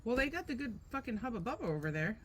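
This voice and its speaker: A woman's voice: fairly high pitch, very animated, fast, a little hiss or hum. A woman co-hosting a casual podcast with her husband, blunt and rambling, with plenty of swearing. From Portuguese descent from the Azores. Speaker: podcast co-host. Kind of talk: casual chat.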